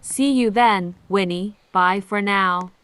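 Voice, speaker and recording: A woman's voice: medium pitch, slow, a bit bright, voice pushed up. A woman voicing a bossy, snooty sister with a haughty, scolding manner in a storybook reading.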